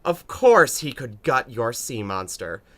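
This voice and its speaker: An actor playing a haughty, sneering rich suitor in an audiobook, with pompous, mocking theatrical delivery. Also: male voice, mid-range voice, sing-song, takes it slowly, a bit bright. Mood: scornful.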